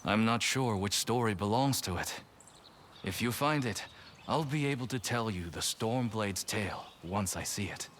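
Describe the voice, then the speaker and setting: A man playing a wandering storyteller in a video game, talking in a measured, theatrical, tale-telling way. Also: fairly low pitch, animated, fairly bright tone, slight background noise. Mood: intrigued, explanatory. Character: wandering male storyteller seeking a legendary sword.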